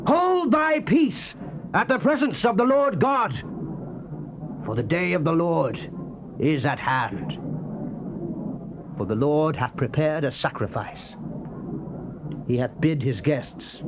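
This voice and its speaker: High-pitched, wrathful prophet, muffled tape. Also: male voice. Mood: solemn, urgent. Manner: declamatory, solemn, theatrical. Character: fiery male prophet proclaiming God's wrath and doom. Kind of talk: dramatized scripture reading, archaic King James English. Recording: muffled, noisy old cassette tape.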